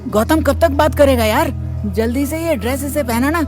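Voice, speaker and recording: A man's voice: extremely high pitch, moderate pace, a little mellow, faint music behind, voice pushed up. A man voicing a lewd, mocking young college gang sidekick in an audio story, with a drawling manner. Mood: leering.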